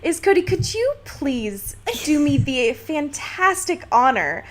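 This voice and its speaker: Woman, fairly high voice, podcast co-host, casual comedic chat, slangy, informal, sweary. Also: big pitch swings, slow, slight background noise.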